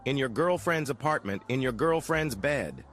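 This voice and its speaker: Lively-pitched male actor as cynical private eye, clipped delivery, confrontational, noir radio drama, soft music behind. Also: normal speed, close to an everyday voice. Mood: accusing, cynical. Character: hard-boiled 1940s private eye, tough, cynical man.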